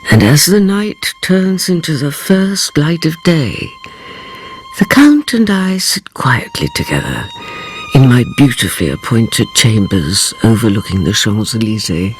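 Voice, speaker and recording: A knowing older woman storyteller narrates a radio drama over music. She takes her time, swooping between hushed intimacy and theatrical suspense. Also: very deep voice, big pitch swings, a little slow, exaggerated voice, poor audio. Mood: knowing, intimate.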